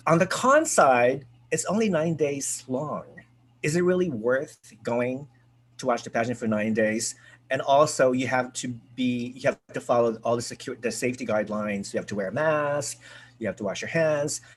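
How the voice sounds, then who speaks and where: This is a man with a husky, slightly breathy voice, hosting a casual group chat over a video call. He talks in an excitable, chatty way, full of fillers and restarts. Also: medium pitch, normal speed. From United States. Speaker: host.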